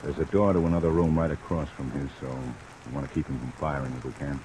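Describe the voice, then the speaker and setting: Deep-voiced American police lieutenant. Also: male voice, fast, a little mellow, rough recording. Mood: commanding. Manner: plain-spoken, commanding. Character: steady, practical police lieutenant in charge. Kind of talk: mid-century American radio drama acting. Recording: old radio recording under heavy rain noise.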